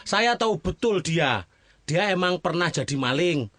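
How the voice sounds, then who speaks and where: A man's voice: a little high, lively intonation, measured pace, fairly bright tone, dry recording. An actor playing a stubbornly loyal male employee in an audio drama. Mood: defensive, insistent.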